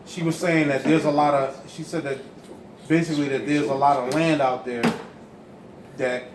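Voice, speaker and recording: A man's voice: fairly high pitch, little pitch movement, normal speed, crowd noise. A man giving an emphatic, insistent teaching talk. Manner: forceful, insistent. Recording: echoey room with background noise.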